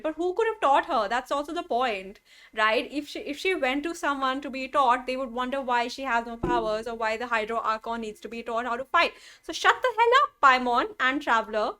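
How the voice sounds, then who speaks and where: A woman's voice: high-pitched, bright, forceful tone. A woman streamer giving casual, emotive, exclamatory reactions while playing a game. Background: game music and dialogue.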